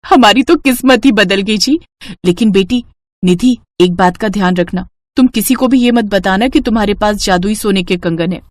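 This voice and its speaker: A woman's voice: medium pitch, moderate pace, no room sound, natural voice. A woman voicing a caring, cautious mother in a cartoon story, speaking earnestly and protectively. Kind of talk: cartoon voice acting.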